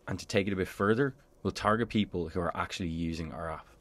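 A man with a low voice narrates a scripted software tutorial. He explains each step in a clear, orderly way, as a presenter on a screencast voice-over would. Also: fast talker.

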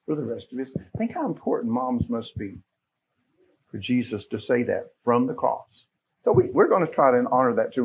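A middle-aged American man speaks as a pastor at the pulpit, folksy and informal. His voice has a husky, rough edge at an ordinary male pitch. He chats through church announcements and checks in with his listeners, on a somewhat muffled recording. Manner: folksy, conversational, asks listeners questions. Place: small church sanctuary, at pulpit.

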